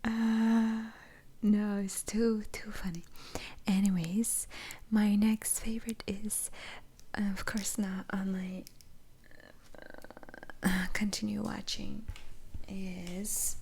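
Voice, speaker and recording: A woman's voice: mid-range voice, flat delivery, takes it slowly, pauses often, dark tone, a little hiss or hum. A woman whispering close to the mic in a casual ASMR chat, giggling often and rambling with fillers.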